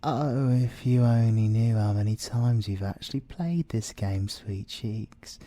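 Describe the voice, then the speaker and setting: A British man playing a possessive, scheming siren in an ASMR audio roleplay, seductive and theatrical, with drawn-out words and whispers. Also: fairly low pitch, dark tone, dry recording, natural voice. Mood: obsessive, gloating. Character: possessive, scheming male siren, obsessive lover and villain.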